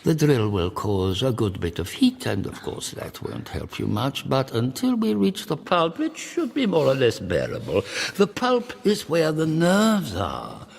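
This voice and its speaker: An actor in a radio drama playing a cold, courteous, sadistic older torturer, speaking in measured, pedantic phrases with menacing pauses. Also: male voice, mid-range voice, wildly swooping pitch, vocal fry, husky, exaggerated voice. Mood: clinical cruelty.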